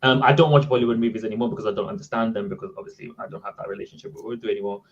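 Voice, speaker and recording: A man whose first language is Sindhi, telling stories in casual chat with an exasperated, animated manner. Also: on the low side, racing. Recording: dull lo-fi sound.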